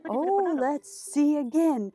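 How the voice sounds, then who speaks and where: Animated, high-voiced woman hosting children's storytime. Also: slow, soft background music. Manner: animated, exaggerated, sing-song delivery for small children. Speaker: children's library storytime host. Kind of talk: children's storytime, talking to young viewers and reading aloud. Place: small decorated indoor storytime set.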